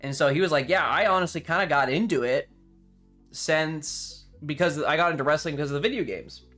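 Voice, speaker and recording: A man's voice: fairly high pitch, fairly quick, sharp tone. A man chatting casually on a livestream, rambling enthusiastically with some casual swearing. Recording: music playing behind the voice. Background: music.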